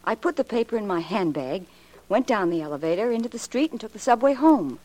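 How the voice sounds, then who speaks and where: An actress playing a stubborn, guarded young secretary, crisp and defensive, in a 1940s radio mystery. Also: female voice, medium pitch, very animated, fairly quick, a little mellow. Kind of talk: late 1940s radio drama acting. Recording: noisy old 1940s radio recording.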